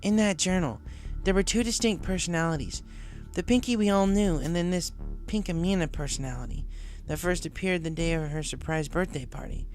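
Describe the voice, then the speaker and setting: A man's voice: sits high, brisk, darkish tone, faint music behind, pitched above the performer's own voice, natural voice, low-quality recording. A man voicing a brash and loyal young female pony in a storybook reading. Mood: troubled, serious.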